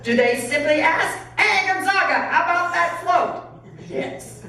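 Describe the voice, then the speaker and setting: A woman's voice: very high-pitched, animated, a little slow, sharp tone, voice pushed up, exaggerated voice. A proud, hot-tempered rancher father, voiced by a woman in a dramatic storybook reading. He speaks emphatically, in exclamations. The sound is very echoey and comes from a distance. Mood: exasperated. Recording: very echoey hall, distant, faint noise.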